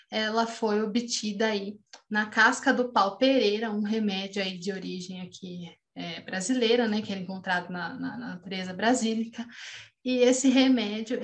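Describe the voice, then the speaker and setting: A woman in her thirties with a Brazilian accent giving an online academic lecture over a video call, talking with frequent restarts and fillers. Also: medium pitch. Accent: Brazilian Portuguese. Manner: hesitant, many restarts and fillers. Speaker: postdoctoral researcher lecturing. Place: home room, seen on webcam. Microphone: video call webcam.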